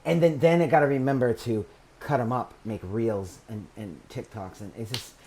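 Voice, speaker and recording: A man in his forties from Maine hosting a podcast, chatting casually in a rambling way that trails off softly, with frequent laughs. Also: medium pitch, moderate pace. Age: 40s. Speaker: podcast host. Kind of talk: casual interview chat.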